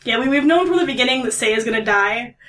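Wry, bright-voiced woman co-host. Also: fairly high pitch, animated, quick pace. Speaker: podcast co-host. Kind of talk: casual podcast chat.

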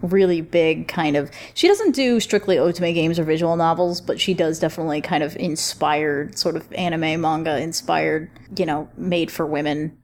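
A woman with a slightly low voice hosts a relaxed roundtable discussion. She talks informally, with slang and a joking streak, as if among friends. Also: moderate pace, hardly any pauses, a little hiss or hum. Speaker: podcast roundtable host. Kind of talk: casual roundtable chat.

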